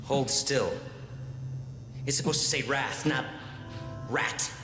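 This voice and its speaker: Casting: male actor as fire-and-brimstone cult villain, game cutscene, slow, theatrical, echoing over heavy music. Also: medium pitch, close to an everyday voice. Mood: mock solemn. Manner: theatrical, swinging from whisper to shout. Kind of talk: video game cutscene acting. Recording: roomy echo under loud music.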